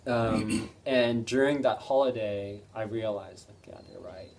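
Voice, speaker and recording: A Dutch man in his thirties answering interview questions in a relaxed, hesitant way, with many ums and self-deprecating laughs. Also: on the low side, a little slow, recorded from a distance, slight background noise. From Netherlands. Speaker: interview guest. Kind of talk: casual interview chat.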